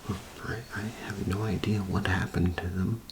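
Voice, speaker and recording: A man doing an ASMR role play as a gentle, hesitant old antique store keeper, speaking haltingly in a half-whispered, creaky voice. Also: low-pitched, level tone, slight background noise, rough recording. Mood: hesitant, courteous. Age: older. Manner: halting, hesitant, repeats himself, polite.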